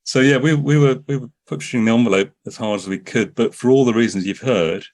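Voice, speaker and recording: A man's voice: medium pitch, a little fast, darkish tone. A man telling anecdotes as a filmmaker guest in a podcast interview, often restarting his sentences.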